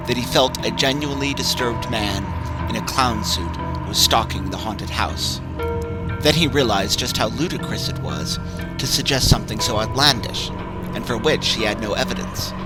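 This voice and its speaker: A man giving a measured, ominous dramatic reading of a horror story, with music behind him. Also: lowish voice, poor audio. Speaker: narrator.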